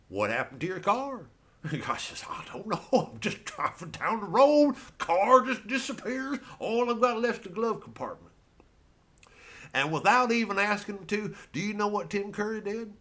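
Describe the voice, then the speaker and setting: A man's voice: sits high, sharp tone. An American man doing stand-up as a rambling comic storyteller, with a folksy, colloquial and meandering delivery. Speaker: stand-up comedian.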